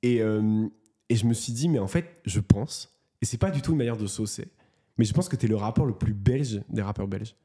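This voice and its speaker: A man's voice: on the low side, normal speed, mellow. A man hosting a casual interview, talking with many hesitations and restarts. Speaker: host.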